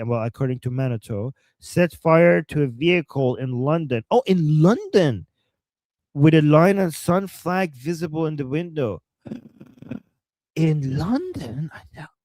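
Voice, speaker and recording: A man with a Persian accent co-hosting a live stream, reading out chat messages and reacting with animated, joking commentary and frequent laughter. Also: fairly high pitch, no echo.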